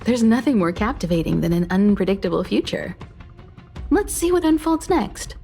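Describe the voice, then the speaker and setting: A woman's voice: medium pitch, lively intonation, moderate pace, vocal fry, dark tone, faint music behind. A woman playing a poised, enigmatic, intrigued character in a video game.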